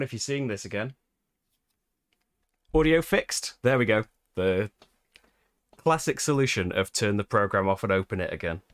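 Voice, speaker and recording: A man's voice: on the low side, fairly bright tone, dry recording. A British man hosting a live board game playthrough, chatting casually and laughing often at his own expense. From Britain. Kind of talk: casual live-stream chat.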